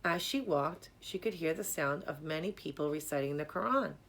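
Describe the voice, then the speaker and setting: A woman narrates a children's storybook in a slightly low voice. Her delivery is measured and expressive, like scripted storytelling read aloud. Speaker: storybook reader.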